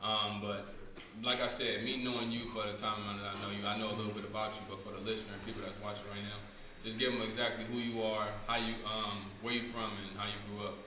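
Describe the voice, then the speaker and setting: A man in his late twenties from San Francisco, hosting a casual podcast in a relaxed, slangy way with lots of fillers. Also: lowish voice, fast talker. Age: 20s. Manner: laid-back slangy talk with many fillers. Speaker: podcast host. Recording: echoey room with noise.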